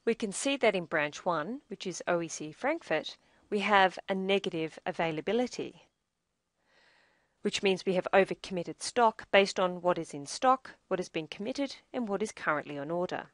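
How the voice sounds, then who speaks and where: A woman narrating a scripted software tutorial as demo presenter, in a measured, explanatory way. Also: fairly low pitch, animated, no echo, clean studio sound.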